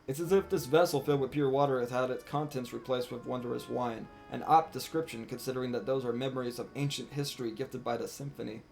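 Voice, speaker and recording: A man's voice: medium pitch, steady tone, fast, creaky, hardly any pauses, darkish tone, close to an everyday voice. A man voicing a self-important talking cat on a solemn mission from a video game, in archaic, formal words. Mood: solemn, cryptic. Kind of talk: reading game dialogue aloud. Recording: music behind the voice.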